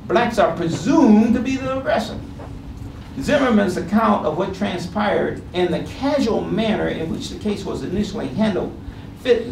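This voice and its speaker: High-pitched, gravelly middle-aged American man, distant mic. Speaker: academic panel speaker. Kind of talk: reading a prepared statement aloud with spoken asides to an audience. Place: indoor panel room. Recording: roomy, distant microphone, noisy background.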